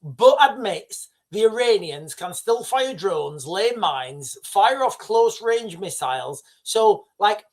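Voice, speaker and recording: A man's voice: sits high, takes it slowly, few pauses. A middle-aged man hosting a casual news livestream, talking straight to his viewers with many restarts and asides. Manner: excitable, addresses viewers directly, rambling with restarts.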